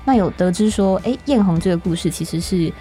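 A woman's voice: on the low side, a little fast, mellow, soft background music. A woman with a Taiwanese Mandarin accent, hosting a podcast and interviewing her guest in a casual, conversational way. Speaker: podcast host, scriptwriter.

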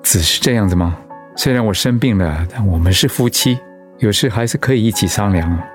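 An actor playing a seriously ill but patient, encouraging husband in a Mandarin radio drama. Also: male voice, fairly low pitch, fairly quick, lightly acted. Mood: weak, gently probing. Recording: music under the voice.

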